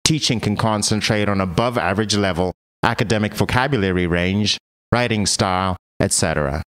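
A man with a low-pitched voice narrates a scripted training explainer. His delivery is measured and orderly, moving through the material point by point like an instructional voice-over. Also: a bit bright, clean tone.

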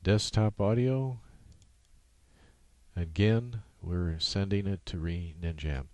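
A man with a low voice narrates a screen-capture tutorial. He explains each step off the cuff, halting as he goes, in a casual way. The sound is dry, with no room to it. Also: mellow, no room sound. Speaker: software tutorial presenter. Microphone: USB microphone.

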